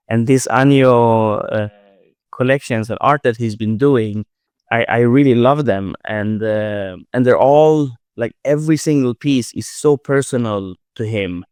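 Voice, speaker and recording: A man from Sweden, a creator answering questions in a casual live audio chat, halting and full of restarts. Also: mid-range voice, smooth, no room sound, clean studio sound. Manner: halting, many restarts and fillers. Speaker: art project creator, writer-director.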